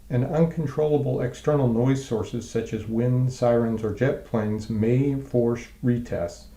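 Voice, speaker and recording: A man delivers a scripted technical lesson as a voice-over. His voice is relaxed and mid-pitched with a creaky edge. He explains things in a measured, clearly enunciated way. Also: roomy sound. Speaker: presenter of technical training sessions. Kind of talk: scripted instructional narration.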